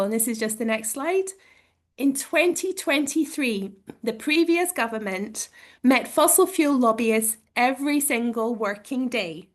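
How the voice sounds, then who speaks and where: Croaky-voiced woman giving an earnest talk. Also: high voice. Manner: earnest, campaigning. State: croaky voice from illness. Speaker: campaign speaker. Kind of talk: prepared talk to a meeting. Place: at home, joining a meeting online. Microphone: video call. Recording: heard over a video call.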